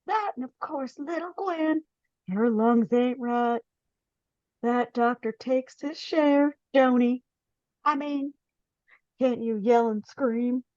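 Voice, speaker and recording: A woman's voice: on the high side, slow pace, a little mellow, no echo. A woman playing a meek, folksy poor man pleading over his debts in a live dramatic reading. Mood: pleading, anxious. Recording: dull, dry video call track.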